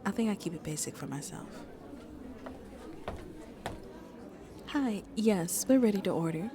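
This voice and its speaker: A woman playing a shy, sweet vampire on a first date in an ASMR roleplay, soft-spoken and hesitant, often dropping to a whisper. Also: mid-range voice, expressive, brisk, close to an everyday voice. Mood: friendly, nervous. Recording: crowd chatter in the background. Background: crowd chatter.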